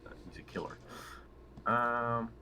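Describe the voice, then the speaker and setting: A man's voice: mid-range voice, measured pace, bright, forceful tone, a little hiss or hum. A man playing a tabletop game, chatting casually and tentatively as he thinks his moves through aloud.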